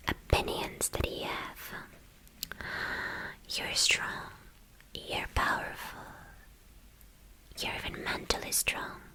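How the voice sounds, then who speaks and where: A woman voicing a mad, cruel young villainess kidnapper in a whispered ASMR roleplay, coaxing and menacing in a breathy whisper. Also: pushed and bright, poor audio. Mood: gleefully scheming. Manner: slow, coaxing, repeating words for menace.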